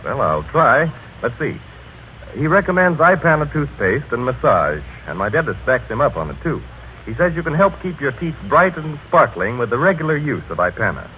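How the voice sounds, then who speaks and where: An actor playing an earnest, polite audience member giving a rehearsed toothpaste testimonial in a 1930s radio sketch, on an old recording. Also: male voice, medium pitch, big pitch swings, moderate pace, bright, forceful tone, slight background noise, poor audio. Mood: earnest, helpful.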